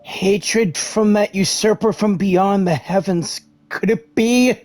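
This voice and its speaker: A woman's voice: medium pitch, slow pace, a little mellow, lightly acted. A woman playing a bold young adventurer in a video game, with emphatic, dramatic delivery. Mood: pensive. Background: game music.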